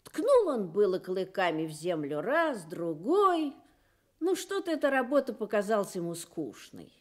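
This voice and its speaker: A woman narrating a children's radio story in an expressive, measured storytelling manner. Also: mid-range voice.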